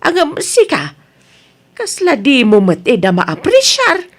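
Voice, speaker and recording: A woman's voice: sits high, wildly swooping pitch, slow pace, full character voice. A woman with an Ilocano Filipino accent acting a frank, reasonable neighbor in a radio drama. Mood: reproving. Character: frank, reasonable female neighbor who reproves her gossiping friend.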